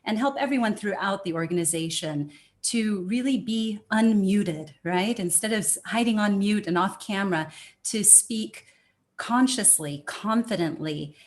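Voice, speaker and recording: A woman's voice: medium pitch, unhurried. Upbeat woman from the USA. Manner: enthusiastic and upbeat. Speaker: panelist, communication consultant. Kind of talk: self-introduction on a panel.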